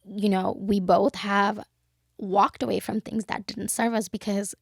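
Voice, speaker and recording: A woman's voice: medium pitch, little pitch movement, a little slow, vocal fry, no room sound, clean studio sound. A young woman from Bangladesh, co-hosting a casual podcast and talking reflectively with lots of "you know". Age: young adult. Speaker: podcast co-host. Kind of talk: casual chat.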